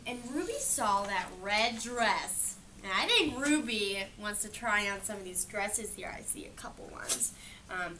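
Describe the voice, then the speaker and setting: An American woman reading a picture book aloud interactively, chatting, asking questions and encouragingly echoing the answers. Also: on the high side. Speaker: picture-book reader with a child. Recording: noisy room, distant microphone.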